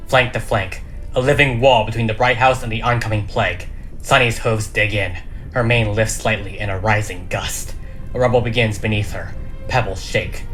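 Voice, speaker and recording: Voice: medium pitch, fairly bright tone. A narrator reading an audio drama script aloud, stage directions included, in a dramatic way. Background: music.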